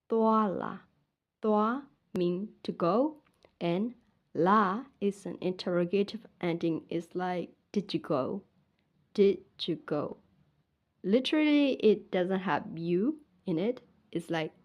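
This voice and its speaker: A woman's voice: medium pitch, slow, lo-fi sound. A young woman from Myanmar with a Burmese accent, teaching her language in a casual lesson and patiently saying each word twice.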